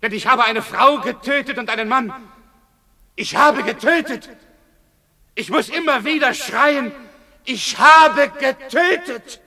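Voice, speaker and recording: A man's voice: extremely high pitch, takes it slowly, long pauses, full character voice. An actor playing a frantic, guilt-ridden man, crying out in anguish in a 1960s German radio drama. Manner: declamatory, anguished shouting. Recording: long hollow reverb, vintage radio production.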